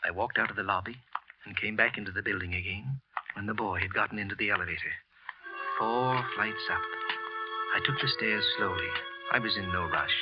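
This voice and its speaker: Man playing a brooding, resentful clerk, lowish voice. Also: soft background music, natural voice. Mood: coldly intent. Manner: halting, brooding, deliberate. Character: tense, resentful male office clerk confessing bitter hatred. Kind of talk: 1940s radio acting, first-person narration. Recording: dull old radio recording.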